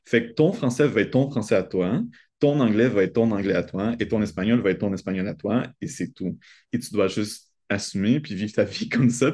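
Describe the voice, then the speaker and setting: A young man talks things through as a guest. His voice sits low and mellow, with a dark, soft tone. He speaks thoughtfully, with the odd light laugh. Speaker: guest, doctoral student. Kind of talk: casual conversation.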